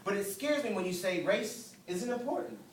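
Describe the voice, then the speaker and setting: A man's voice: sits high, lively intonation, normal speed. A man performing slam poetry as a spoken word poet, with a rhythmic, rhyming, emphatic delivery. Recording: roomy, distant mic, faint noise.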